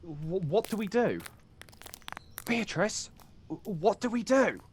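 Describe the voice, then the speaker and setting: A man's voice: high-pitched, expressive, slow pace, slight background noise. Hesitant, wry male poet, played by an actor. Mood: alarmed. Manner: questioning, stammering when unsure. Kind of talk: stage play acting, quiet two-hander.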